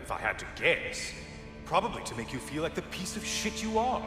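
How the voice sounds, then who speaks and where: An actor playing a bitter, mocking sun god who taunts with sarcastic remarks in video game dialogue. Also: male voice, on the high side, sing-song, a little fast. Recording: game audio with loud music.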